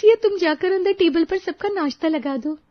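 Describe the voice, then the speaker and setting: A woman voicing a friendly lady of the house, coaxing and instructing, in an animated moral story. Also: extremely high pitch, moderate pace, dull audio, pitched above the performer's own voice.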